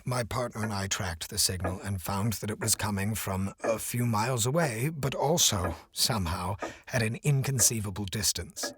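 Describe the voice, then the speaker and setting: An actor playing an earnest, obsessed scientist in an audio drama, speaking with intense, deliberate formality. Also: male voice, lowish voice, fairly bright tone, husky. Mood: solemn, insistent. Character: earnest, obsessed male scientist stranded in another dimension.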